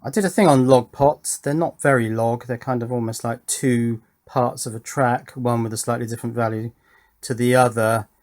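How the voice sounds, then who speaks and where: A man's voice: mid-range voice, steady tone, normal speed, a little mellow. A man giving an unscripted hands-on build commentary as an electronics hobbyist presenter, thinking aloud in an informal, explanatory way.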